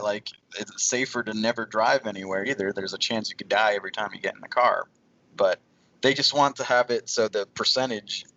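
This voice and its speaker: Man, low gravelly voice, bright edge, casual podcast panelist, slightly muffled recording. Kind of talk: casual discussion.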